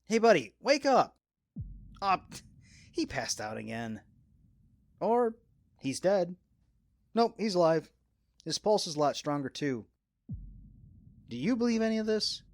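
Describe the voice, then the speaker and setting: An actor playing an excitable, skeptical sailor in a radio drama, talking bluntly and colloquially. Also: male voice, sits high, measured pace, pauses often, exaggerated voice. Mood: skeptical, agitated.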